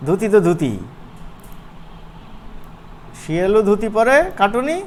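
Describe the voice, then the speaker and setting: A man gives a casual, chatty software tutorial in an informal, colloquial way, often checking in with his listeners. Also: high-pitched, lively intonation, slow pace. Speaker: animation course instructor. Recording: clear background noise.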